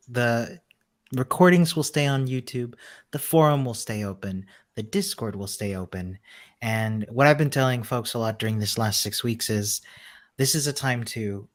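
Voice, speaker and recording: A man co-hosting a livestream, talking in an easygoing, encouraging way with many fillers. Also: mid-range voice. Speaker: co-host. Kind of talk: casual livestream chat.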